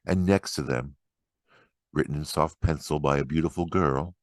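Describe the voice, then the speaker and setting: A man giving a dramatic, expressive and deliberate reading of poetry. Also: a bass voice, no echo, studio quality. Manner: expressive, deliberate, acts out quoted remarks. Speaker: poetry reader.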